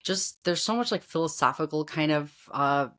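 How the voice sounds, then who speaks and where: A woman with a notably low voice hosting a podcast conversation. She chats casually and gushes, piling on enthusiastic praise for her guest. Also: animated, normal speed, a bit bright. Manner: effusive and gushing. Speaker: podcast host. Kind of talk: casual interview chat.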